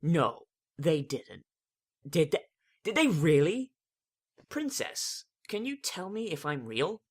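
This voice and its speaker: A reader acts out an earnest young female unicorn from a fan-fiction drama. Her delivery is theatrical and intense, swinging widely in pitch with strong emphasis. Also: sing-song, takes it slowly, pauses often, slightly rough, exaggerated voice. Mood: anxious, disbelieving. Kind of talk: first-person dramatic fan fiction reading.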